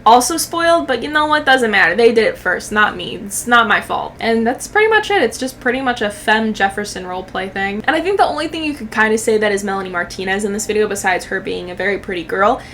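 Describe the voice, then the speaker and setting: A woman in her twenties giving casual comedic reaction commentary as a channel host, sarcastic, profane and expressive. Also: medium pitch, quick pace, hardly any pauses, slight background noise.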